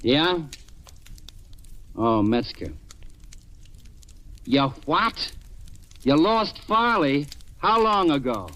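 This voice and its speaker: An actor playing a tough, wisecracking police detective in an old radio crime drama, with a clipped, sardonic delivery. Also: male voice, a little high, sing-song, drawn-out words, long pauses, fairly bright tone, slight background noise, heavily acted. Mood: exasperated. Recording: old radio recording with music.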